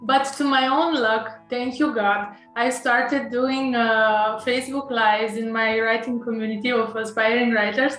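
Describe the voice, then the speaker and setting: A woman's voice: a little high, steady tone, a little slow, few pauses, a bit bright, roomy sound, soft background music, rough recording. A woman from Romania with a Romanian accent, an author being interviewed, speaking hesitantly with many fillers, restarts and nervous laughs. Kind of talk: casual interview conversation.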